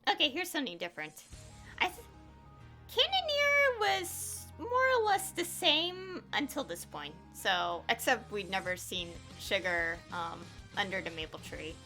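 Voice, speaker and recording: A woman with a high, lively voice that swings up and down as she talks. She comments casually on a video game, offhand and informal, as if chatting to viewers. Faint music plays behind her. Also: a little slow. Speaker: gaming video creator.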